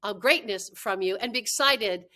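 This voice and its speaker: A woman's voice: medium pitch, moderate pace, bright, forceful tone. A woman consultant giving instructional tips in an emphatic way, repeating key points for stress. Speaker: dental practice consultant and trainer. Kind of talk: instructional tips talk to camera.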